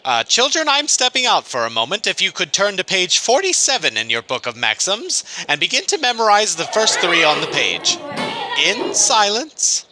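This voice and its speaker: Fussy, proper male schoolmaster for audio drama, played by a man: highish, swooping pitch, easily flustered. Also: wildly swooping pitch, moderate pace, pushed and bright, a little hiss or hum, full character voice, rough recording. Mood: prim, stern. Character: fussy, nervous, prim male village schoolmaster.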